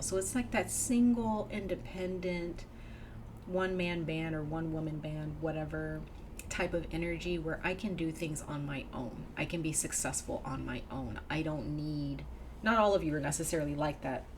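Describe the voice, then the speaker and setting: A woman with a low voice talks through a tarot reading in a loose, chatty way, full of ums and you knows. She sounds a little nasal and sniffly from a cold. The recording carries clear background noise. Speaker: tarot reader. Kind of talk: casual tarot reading to camera.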